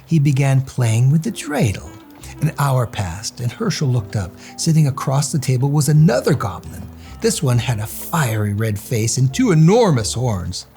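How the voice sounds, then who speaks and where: A man telling a children's story aloud in an animated read-aloud style. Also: mid-range voice.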